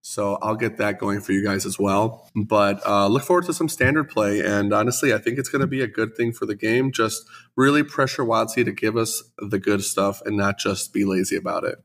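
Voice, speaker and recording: A man's voice: on the low side, moderate pace, fairly bright tone. A man co-hosting a podcast, congested after the flu, chatting casually and enthusiastically with the odd self-deprecating joke. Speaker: podcast co-host and store owner.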